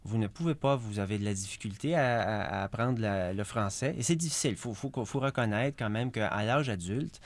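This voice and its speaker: Low-voiced hesitant man, dry sound. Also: a little fast, few pauses, dry recording. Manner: hesitant, repeats words while searching. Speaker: president of a regional association. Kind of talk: interview answers.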